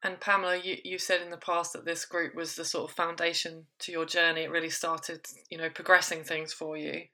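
A woman hosts a podcast conversation with a bright, forward tone but a lowish, level pitch. She frames her questions at length and stumbles on a few words along the way. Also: little pitch movement, brisk. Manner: leading questions, restarts words. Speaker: podcast host. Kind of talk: conversational interview.

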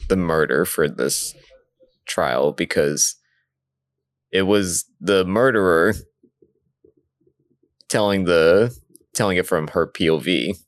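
A man's voice: low-pitched, slow, pauses often, no echo. A man chatting casually about video games as a podcast co-host.

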